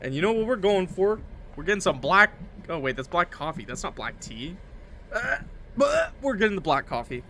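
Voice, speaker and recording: Man, higher-pitched voice, game streamer, reading aloud, playful asides, faint background noise. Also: sing-song, normal speed, sharp tone. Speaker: gaming video host. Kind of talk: visual novel let's play, reading aloud with joking asides.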